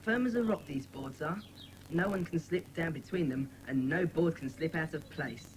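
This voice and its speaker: A woman's voice: sits low, normal speed, a little hiss or hum, lightly acted, low-quality recording. A woman playing a blunt, matter-of-fact young schoolboy in a dramatised children's audiobook. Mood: satisfied.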